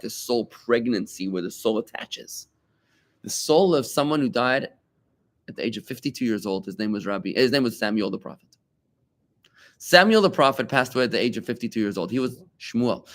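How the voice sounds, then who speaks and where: A man teaching a live Talmud class as a rabbi, animated and conversational, with rhetorical questions and asides to his students. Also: mid-range voice.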